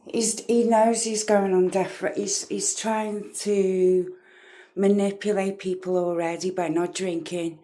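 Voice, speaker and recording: A woman's voice: medium pitch, flat delivery, measured pace, dark tone. A woman giving casual true crime reaction commentary in a blunt, scornful way.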